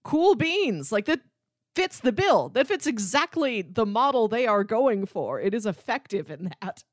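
A woman's voice: fairly high pitch, very animated, unhurried, no echo, studio quality. A woman hosting a podcast, talking casually and enthusiastically about film with emphatic delivery. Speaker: podcast host.

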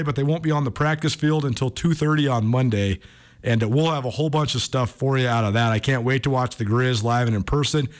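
A man speaking as a sports radio host, giving informal, enthusiastic commentary in a conversational style. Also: mid-range voice.

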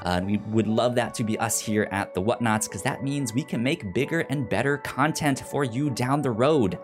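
A man's voice: medium pitch, normal speed, over music. A man hosting a casual podcast, chatting and reading announcements, sometimes tripping over and repeating words. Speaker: podcast host. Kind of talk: casual podcast interview and sponsor read.

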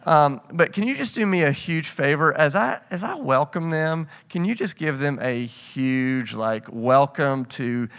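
A man's voice: fairly high pitch, lively intonation, measured pace, gravelly, few pauses, fairly bright tone. A man speaking casually and earnestly to a congregation as a pastor and host, effusive and often circling back to repeat himself. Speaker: church pastor hosting an interview. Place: church gathering on stage.

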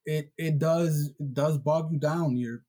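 A man's voice: on the high side, fairly even intonation, unhurried, mellow. A man born in Somalia, a political candidate, talking reflectively and a little hesitantly in a casual chat.

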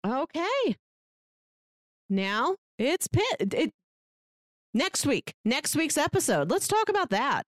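A woman's voice: sits high, huge pitch swings, slow pace, long pauses, dry recording, studio quality. A woman hosting a podcast in a casual, chatty style. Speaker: podcast host.

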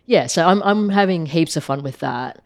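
A woman who is sick and sounds croaky, chatting casually as a podcast co-host, with many "like" fillers. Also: deep voice, expressive, normal speed, dry recording, clean studio sound. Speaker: podcast co-host, app maker. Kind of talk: casual chat.

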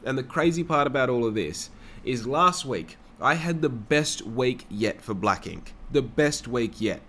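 A man's voice: medium pitch, lively intonation, unhurried, fairly bright tone, slight background noise. A young man rambling through a casual personal monologue, swearing freely. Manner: rambling and heavily profane.

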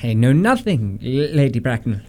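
An earnest young Victorian gentleman , played by a man, with a mid-pitched and very animated voice. He speaks formally and politely, slightly flustered, as in a radio stage comedy. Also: normal speed, lightly acted. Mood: polite, nervous. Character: earnest, polite Victorian gentleman suitor, aged twenty-nine.

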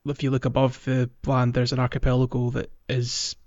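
A man's voice: mid-range voice, completely flat delivery, brisk, a little mellow, dry recording. Man chatting in slightly muffled, dry sound. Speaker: podcast co-host. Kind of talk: casual gaming chat.

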